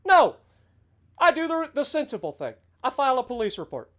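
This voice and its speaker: A man's voice: very high-pitched, very animated, a little fast, a bit bright. Profane, ranting man in his thirties. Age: 30s. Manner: profane, heated and sarcastic. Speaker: game streamer. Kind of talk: casual livestream rant.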